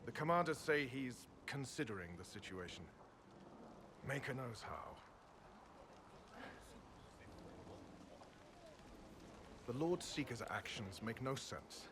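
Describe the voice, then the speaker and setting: An actor playing a dutiful, troubled young knight in a fantasy game, speaking formally and with grave, measured delivery. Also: male voice, mid-range voice, animated, noisy background, natural voice. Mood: frustrated, earnest. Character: earnest, dutiful young knight templar, troubled but loyal. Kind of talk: dramatic fantasy game acting.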